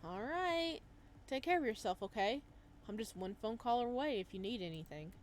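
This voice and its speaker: A woman's voice: on the high side, expressive, moderate pace, slight background noise, natural voice. A woman voicing a friendly, caring young gym worker in a visual novel, with a casual, considerate manner. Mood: friendly, concerned.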